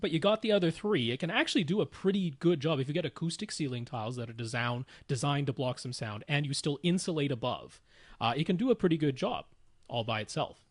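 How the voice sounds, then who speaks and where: A man's voice: a little high, expressive, quick pace, dry recording, clean studio sound. A man co-hosting a podcast, casually explaining technical matters in a methodical, step-by-step way. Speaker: podcast co-host.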